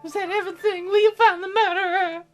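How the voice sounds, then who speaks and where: A woman's voice: extremely high pitch, fairly even intonation, fast talker, a bit bright, faint music behind, pitched above the performer's own voice. A woman voicing a sweet, shocked young woman jogger in a visual novel. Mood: soft, wistful.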